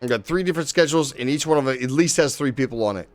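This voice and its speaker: A man's voice: medium pitch, rapid-fire. A man live-streaming a game, chatting casually with viewers and swearing freely as he plays. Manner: excitable, swears casually, talks to chat.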